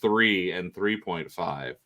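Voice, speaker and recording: A man explaining things casually on a podcast, in a halting way with pauses mid-phrase. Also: sits low, pushed and bright. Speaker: podcast panelist.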